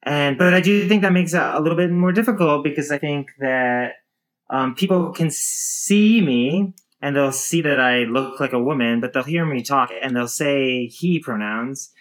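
Woman, 30s, low voice, hesitant, musing, casual chat. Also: normal speed. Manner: hesitant, many fillers and restarts. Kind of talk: casual conversation.

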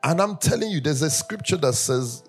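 A man from Nigeria preaching a sermon, telling humorous personal stories in a lively way that rises into sing-song emphasis. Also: fairly high pitch, normal speed, slightly rough, faint music behind. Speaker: pastor.

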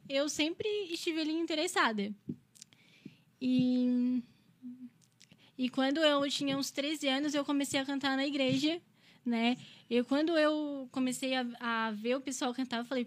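A woman in her twenties from Brazil, a singer chatting casually as an interview guest, often ending phrases with a questioning tag. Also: sits high. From Criciúma, Santa Catarina, Brazil. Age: 20s.

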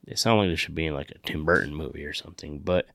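Very deep, gravelly man. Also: quick pace, fairly bright tone, dry recording. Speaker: show co-host. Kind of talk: casual podcast chat.

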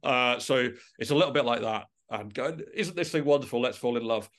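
A man's voice: mid-range voice, expressive, fairly quick, fairly bright tone. A man speaking as a musician guest in a casual podcast interview, with an informal, self-deprecating and enthusiastic way of talking.

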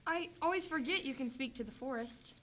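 A woman's voice: high-pitched, brisk, background noise, close to an everyday voice. A woman playing a hopeful, trusting young woman on the run, in an amateur radio drama.

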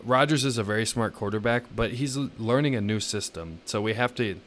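A man co-hosting a sports podcast, giving measured, opinionated analysis in a casual, explanatory way. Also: lowish voice, fairly bright tone, a little hiss or hum.

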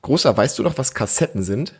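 A man's voice: medium pitch, animated, a little fast, a little mellow, husky. A man in his thirties or forties hosting a casual family podcast, chatting encouragingly and explaining things simply to a child. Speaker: podcast host.

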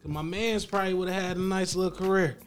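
A man's voice: sits high, steady tone, fast talker, faint music behind. A man with a Detroit accent co-hosting a casual podcast who cuts in with jokes. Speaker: podcast co-host. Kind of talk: casual chat.

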